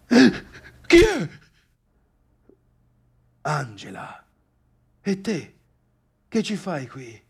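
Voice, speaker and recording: A man's voice: a little high, husky, exaggerated voice. An actor playing a gossipy, needling party guest and domineering friend in a theatre monologue, chatty and insistent, repeating himself and addressing his listeners directly. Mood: startled, awkward.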